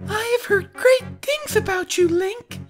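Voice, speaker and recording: A tiny girl spirit from a fantasy game cartoon, very high in pitch. She speaks slowly and sweetly, with a false innocence that feels eerie. Soft music plays behind her. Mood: falsely sweet. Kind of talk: fan-dub game parody acting.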